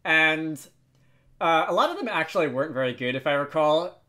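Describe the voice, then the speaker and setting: A man presenting an informal software tutorial, talking off the cuff and often correcting himself as he demonstrates. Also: fairly high pitch, animated, moderate pace. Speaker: tutorial video host. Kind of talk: unscripted software tutorial narration.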